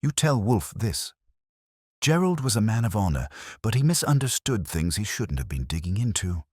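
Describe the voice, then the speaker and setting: A man's voice: lowish voice, very animated, normal speed, darkish tone, breathy edge, no room sound, clean studio sound, pitched above the performer's own voice. A man voicing a guarded, defensive businessman under suspicion, insistent and tense, in an audiobook mystery.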